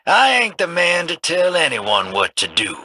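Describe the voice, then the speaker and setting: A man's voice: on the high side, very animated, moderate pace, pushed and bright, a little hiss or hum, heavily acted. A man voicing a sly, grizzled rogue scavenger for a video game, talking in a drawling, folksy way.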